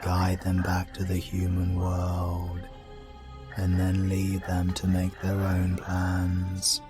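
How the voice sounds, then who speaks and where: A very low-voiced demon lord, acted by a man, calm and scheming in a fantasy audio drama. He gives his orders slowly and evenly, with music playing underneath. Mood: cold, commanding. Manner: slow, deliberate, commanding. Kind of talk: fantasy audio roleplay voice acting.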